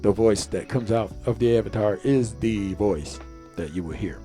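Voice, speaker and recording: A man's voice: fairly low pitch, moderate pace, warm tone, faint music behind. A man giving a casual step-by-step tutorial as a video creator, rambling and often repeating himself.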